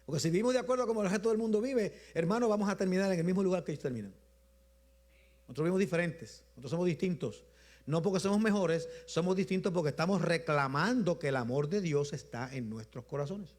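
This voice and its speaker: A man with a Puerto Rican accent preaching a Sunday sermon in a rhythmic style, repeating phrases and letting his voice drop low at the end of each point. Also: animated, a little mellow. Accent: Puerto Rican Spanish. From Puerto Rico. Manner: rhythmic repetition, drops low at phrase ends, slows for emphasis. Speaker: bishop preaching.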